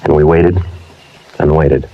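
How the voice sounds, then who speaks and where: An American actor playing a terse, weary police sergeant in a 1950s radio drama, narrating in a clipped, matter-of-fact way. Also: male voice, rumbling low, steady tone, measured pace. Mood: flat narration. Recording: dull vintage radio recording, loud music.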